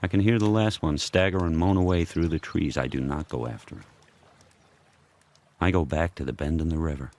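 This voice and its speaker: A man playing a fierce, brooding sled dog turned pack leader, telling his tale in terse, deliberate phrases in an audio drama. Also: darkish tone. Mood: grieving, weary. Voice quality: very deep, husky. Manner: terse, deliberate first-person narration in short phrases.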